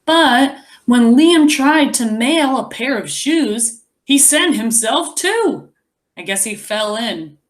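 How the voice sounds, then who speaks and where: A woman reading a picture book aloud as a teacher, expressively, pausing to explain and think aloud for young children. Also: fairly high pitch, lively intonation.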